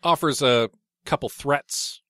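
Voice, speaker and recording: A man's voice: medium pitch, slow pace, no echo, clean studio sound. Congested man recapping a book. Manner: recapping chapters in a relaxed, wry way. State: congested with allergies. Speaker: podcast co-host. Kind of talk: casual book discussion podcast.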